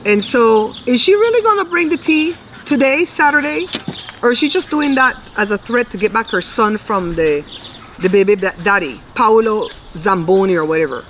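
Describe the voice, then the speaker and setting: A woman's voice: medium pitch, fairly bright tone. Chatty middle-aged woman, noisy outdoor recording. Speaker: tarot reader and video host. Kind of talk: casual chatty tarot reading to camera.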